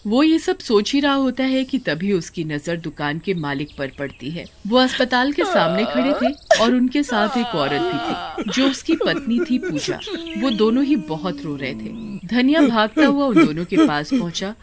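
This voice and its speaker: A woman's voice: on the high side, very animated, a little slow, a crowd in the background. A woman narrator reading a scripted moral tale as storytelling.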